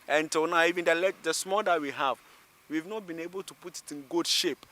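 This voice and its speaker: A man's voice: a little high, brisk, pushed and bright. A man with a Ghanaian accent giving informal, opinionated commentary to viewers as a vlog host, repeating phrases for emphasis. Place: outdoors beside a railway station.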